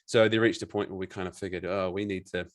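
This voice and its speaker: A man's voice: low voice, fast, no echo, clean studio sound. A man in his thirties with a New Zealand accent, a coach talking frankly and casually as a podcast guest, swearing now and then. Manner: relaxed, frank, swears casually, many restarts. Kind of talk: casual interview chat.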